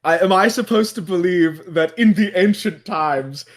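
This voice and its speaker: A man with a noticeably high-pitched voice chats casually as a podcast guest. He is informal and sweary, with an incredulous, joking way of putting things. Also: moderate pace. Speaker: book club podcast participant. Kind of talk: casual chat.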